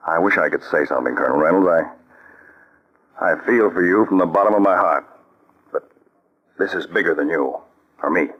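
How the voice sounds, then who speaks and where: An actor playing a firm, calm counterspy chief in an old radio drama, speaking in a measured, authoritative way. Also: male voice, low-pitched. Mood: sympathetic. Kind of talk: 1940s radio acting. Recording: dull lo-fi old radio recording.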